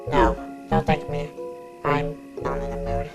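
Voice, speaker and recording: A woman's voice: low voice, flat delivery, unhurried. A woman playing a tough young male outlaw in an ASMR roleplay, speaking in a curt, brooding, protective way. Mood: calm, guarded. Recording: background music under the voice.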